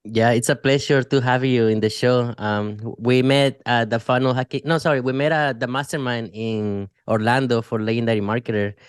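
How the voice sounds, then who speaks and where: A man's voice: mid-range voice, steady tone, normal speed, few pauses, clear, resonant tone, no room sound, clean studio sound. A man co-hosting a podcast, chatting casually and often saying "like". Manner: casual, many "like" fillers. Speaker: podcast co-host. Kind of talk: casual interview chat.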